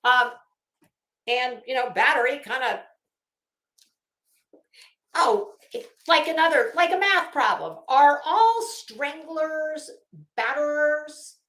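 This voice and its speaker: A woman's voice: sits high, lively intonation, takes it slowly, pauses often, a bit bright. A middle-aged woman delivering a mocking, theatrical monologue, rambling and sarcastic. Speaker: host. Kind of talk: mocking dramatic commentary monologue. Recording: echoey room.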